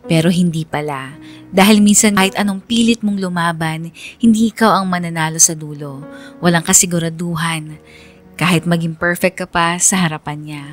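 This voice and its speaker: A woman's voice: lowish voice, moderate pace, soft background music, close to an everyday voice. A woman playing a heartbroken young woman who narrates her story sincerely, as a confession in a radio drama. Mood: sorrowful reflection. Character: heartbroken young woman letter-sender, reflective and accepting.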